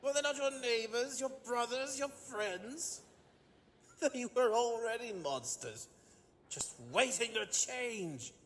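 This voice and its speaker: An actor playing a gloating, obsessive mad scientist in a gothic audio drama, theatrical, taunting and sneering. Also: male voice, very high-pitched, expressive, slow pace, a bit bright, a little hiss or hum, full character voice. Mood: manic, exultant. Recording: long hall-like reverb.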